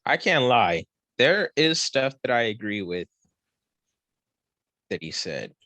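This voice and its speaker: A man co-hosting a podcast in casual banter, slangy and excitable, repeating phrases for emphasis. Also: medium pitch, slow, no echo. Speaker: podcast co-host. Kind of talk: casual banter reviewing a movie.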